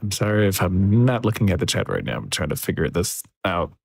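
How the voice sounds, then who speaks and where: A man mixing music on a livestream, thinking aloud in a soft, relaxed, casual way. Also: low-pitched, a little fast, gravelly, slightly rough, no echo. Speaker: music producer streaming a mixing session. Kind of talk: casual livestream commentary while working. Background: faint music from the mix being worked on.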